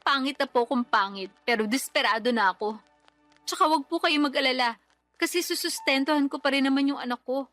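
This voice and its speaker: A woman's voice: high-pitched, fairly quick, a bit bright, soft background music. An actress playing a desperate young mother who pleads and defends her choices in a radio drama. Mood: pleading.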